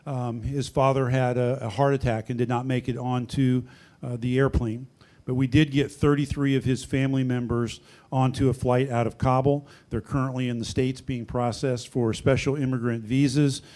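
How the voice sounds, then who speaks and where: A man serving as sheriff, giving steady, earnest remarks at a public meeting with frequent "uh" fillers. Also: mid-range voice, moderate pace. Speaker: county sheriff. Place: county commission chamber.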